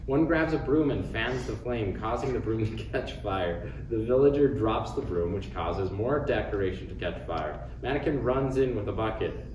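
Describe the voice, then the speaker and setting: A man's voice: fairly low pitch, expressive, normal speed, a little mellow. A man reading stage directions in scripted narration at a table read. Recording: roomy, distant, clearly noisy recording.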